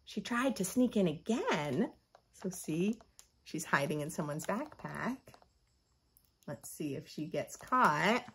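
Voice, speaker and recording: Animated American woman reading to children. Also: fairly low pitch, takes it slowly, slight background noise. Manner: expressive, teacherly, addressing young children. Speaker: children's story host. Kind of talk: picture book read-aloud with asides. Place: small furnished room at home.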